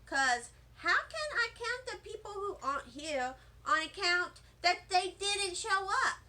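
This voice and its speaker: A woman reading a children's storybook as an excitable, dramatic little first-grade girl, with childlike grammar and emphatic delivery. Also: very high-pitched, a little slow, voice pushed up, heavily acted. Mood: earnest, dramatic. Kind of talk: children's storybook read-aloud.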